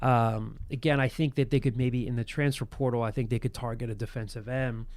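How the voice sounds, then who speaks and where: A man in his thirties hosting a casual sports podcast, hoarse from getting over an illness, telling rambling, self-deprecating stories. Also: mid-range voice, fairly even intonation, a little fast, darkish tone, dry recording. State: losing his voice after being sick. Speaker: podcast host.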